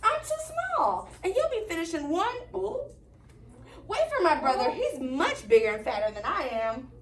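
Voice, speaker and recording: A woman's voice: extremely high pitch, big pitch swings, moderate pace, distant mic. A woman voicing a small young male billy goat in a children's storybook, clever and pleading, in an echoey room. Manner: trembling, stammering pleas to the troll.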